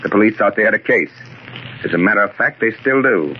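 A tinny, faintly noisy vintage radio recording of a male actor as a young American prosecutor turned investigator. His voice is on the low side. He speaks with measured, sardonic assurance, needling like a forties crime drama hero. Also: lively intonation, close to an everyday voice.

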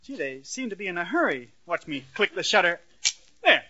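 A man's voice: high voice, big pitch swings, fairly quick, pushed and bright, full character voice. An actor playing an eager, easily flustered young man as an earnest straight man in 1940s radio comedy. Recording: dull, noisy vintage radio recording with clicks.

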